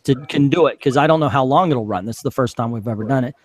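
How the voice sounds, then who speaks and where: A man with a country accent mixed with Chicago, rambling with drawn-out vowels and lots of fillers, as a guest on an online chat over a remote call mic. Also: mid-range voice. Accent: American country, mixed with Chicago. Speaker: guest and fellow streamer. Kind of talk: casual online discussion.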